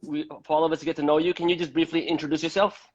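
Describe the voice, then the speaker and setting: A man from Malaysia with a slightly high voice hosts a live online talk. He speaks conversationally but haltingly, doubling back on words. The audio is dull and lo-fi. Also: little pitch movement. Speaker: host of a live debate. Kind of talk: conversational live-stream interview.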